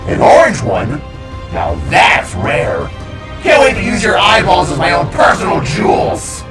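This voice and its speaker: A man's voice: high voice, wildly swooping pitch, measured pace, over music, voice pushed up, exaggerated voice. A man voicing a huge, mocking, gloating male monster in an audio drama. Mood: gloating bellow. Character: huge taunting glass-bodied cube-headed male monster. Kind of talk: audio drama villain acting.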